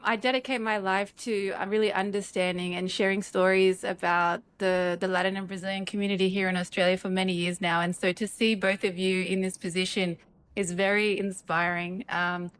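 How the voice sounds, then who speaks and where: A woman's voice: mid-range voice, level tone, a little fast, fairly bright tone, no echo. A woman hosting an interview, speaking in an encouraging manner. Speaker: host.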